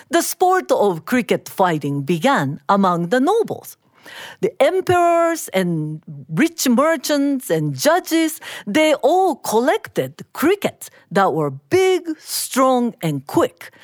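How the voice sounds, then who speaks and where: A woman from Japan, with Japanese as her first language, tells a folktale to listeners. She takes her time with deliberate phrasing and a very expressive, moving pitch, recorded dry and close. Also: dry recording. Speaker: storyteller. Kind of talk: live storytelling of a folktale. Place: studio, live before an audience.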